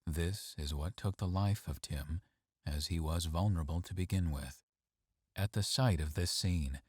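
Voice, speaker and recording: A man narrates a story in a low, husky voice. His intonation swings widely, with dramatic and measured phrasing. The sound is dry and close, with no room around it. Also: darkish tone, no room sound. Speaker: story reader.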